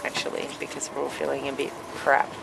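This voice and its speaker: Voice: monotone, quick pace, gravelly. A person recording a casual, informal video diary and putting questions to crewmates inside a small boat. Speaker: crew member filming and interviewing crewmates. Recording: noisy boat cabin with engine rumble.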